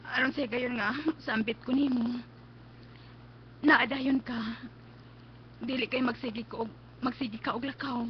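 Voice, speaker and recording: A woman's voice: on the high side, fairly even intonation, measured pace, pauses often, slight background noise, natural voice. A woman with a Cebuano accent acting an anguished, pleading blinded patient in a radio drama, drawing out her words emotionally. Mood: hurt, needy. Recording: dull lo-fi radio stream, music behind.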